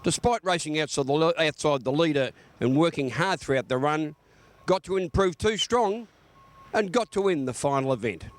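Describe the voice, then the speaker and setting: A man's voice: a little high, animated, normal speed. A man with an Australian accent reading a scripted horse racing report.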